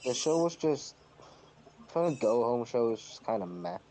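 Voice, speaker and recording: A man's voice: medium pitch, animated, moderate pace, crowd noise. A man giving blunt, unimpressed opinions as a panelist in casual review chat. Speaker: podcast panelist. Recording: dull lo-fi mic with faint noise.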